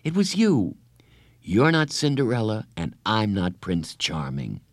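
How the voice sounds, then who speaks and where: A man voicing a kind, patient female school counselor in an audiobook, with a gentle, earnest, reassuring manner. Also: lowish voice, huge pitch swings, unhurried, no echo, studio quality, full character voice.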